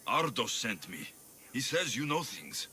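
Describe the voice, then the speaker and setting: A man playing a wry, commanding mercenary in a video game cutscene, gruff in manner. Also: mid-range voice, big pitch swings, a little slow, pushed and bright, heavily acted. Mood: firm, sardonic.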